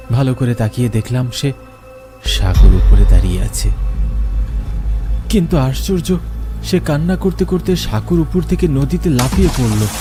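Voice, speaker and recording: Low dramatic guy telling a spooky story, music loud underneath. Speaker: narrator. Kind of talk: first-person horror story narration.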